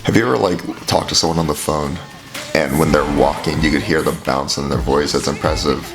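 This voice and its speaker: Very deep, gravelly, hoarse man. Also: a little fast. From California. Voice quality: raspy. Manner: rambling, filler-heavy explaining. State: hoarse from allergies. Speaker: music reaction host. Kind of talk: casual vocal analysis commentary. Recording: music playing behind voice.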